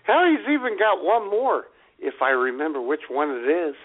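A man's voice: on the high side, very animated, moderate pace. A man co-hosting a comedy radio show who laughs often and tells stories with relish. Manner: laughs often, eager storyteller. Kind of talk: casual comedic radio banter. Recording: tinny phone-like line.

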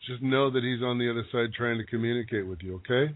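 A man giving psychic readings on a call-in radio show. He talks in a halting, searching way and often restarts his sentences. His voice sits at a middle pitch and comes through dry and narrow, like a phone line. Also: no room sound. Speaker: psychic medium, show regular.

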